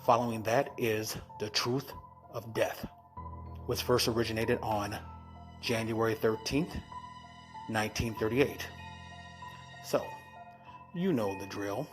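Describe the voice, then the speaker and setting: A man hosting with a scripted introduction, phrased in a measured, deliberate announcer manner. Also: fairly low pitch. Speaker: host. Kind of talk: scripted introduction to old radio shows. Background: music.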